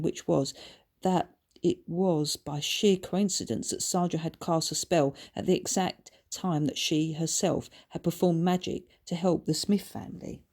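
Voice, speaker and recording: A woman's voice: fairly low pitch, darkish tone. A woman reading her own children's book aloud as scripted story narration.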